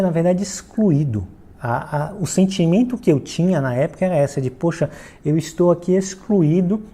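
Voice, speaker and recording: Brazilian male presenter for a franchise Q&A explainer; deeply mellow, slightly rough tone, teacherly delivery. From Salvador, Bahia, Brazil. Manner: didactic, with frequent check-in tags. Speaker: franchise founder answering questions. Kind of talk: explanatory Q&A talk to camera.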